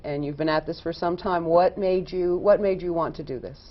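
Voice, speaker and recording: A woman's voice: lowish voice, steady tone, a little fast. A woman hosting a talk show interview, asking chatty, rambling questions. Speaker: interview host. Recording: dull lo-fi studio recording.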